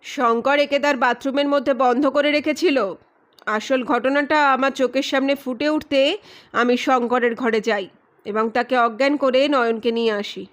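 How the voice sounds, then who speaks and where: A woman playing a commanding, sardonic male detective who makes deliberate, authoritative accusations in an audio story. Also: high voice, fairly even intonation, natural voice. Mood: stern, accusing.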